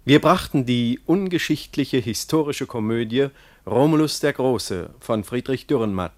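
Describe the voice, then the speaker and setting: A man reading cast credits as a formal radio announcer, with deliberate, precise enunciation. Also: mid-range voice, animated, a little mellow.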